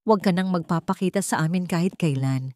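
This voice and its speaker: A woman's voice: on the low side, brisk, a little mellow, no echo, close to an everyday voice. A woman voicing a cold, contemptuous rich old man in a radio drama, with a dry, commanding, sarcastic delivery. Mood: cold contempt. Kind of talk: Tagalog radio drama storytelling.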